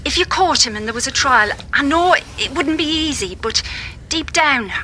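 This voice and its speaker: A woman's voice: sits high, animated, moderate pace, bright, forceful tone, a little hiss or hum. A woman playing an earnest, unsettled daughter in a naturalistic radio drama, speaking in a confiding way. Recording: faint background ambience.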